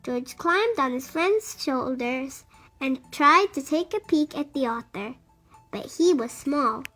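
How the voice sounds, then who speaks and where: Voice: high voice, animated, slow, bright, forceful tone, soft background music. A storybook reader narrating a picture book expressively for young children.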